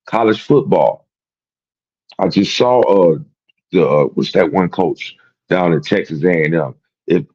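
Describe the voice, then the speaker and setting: A man's voice: low voice, slightly rough, lo-fi sound. A man hosting a sports talk show, rambling and repeating himself in casual, profane commentary. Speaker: sports podcast host.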